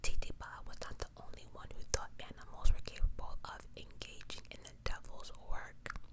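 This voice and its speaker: An ASMR host telling true-crime stories entirely in a soft, unvoiced whisper, with casual, slangy asides. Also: moderate pace. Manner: casual, slangy asides between read passages.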